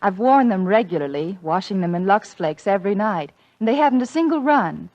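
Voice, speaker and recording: A woman playing a satisfied housewife in an old radio commercial. She delivers her testimonial earnestly at a middling pitch, in a dry studio. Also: animated, brisk, darkish tone, dry recording, lo-fi sound, close to an everyday voice. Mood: pleased, earnest. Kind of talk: 1940s radio ad testimonial reading.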